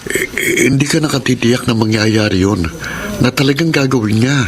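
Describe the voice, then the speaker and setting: A man's voice: medium pitch, normal speed, natural voice. A man acting an earnest, worried young suitor in a radio drama, speaking with a Filipino accent. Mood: concerned. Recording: clearly noisy radio drama audio.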